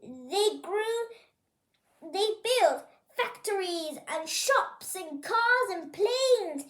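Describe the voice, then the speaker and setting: A storyteller reading a picture book aloud for children, expressive and pointing things out to young listeners. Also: extremely high pitch, echoey room, slight background noise. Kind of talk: animated picture-book read-aloud for kids.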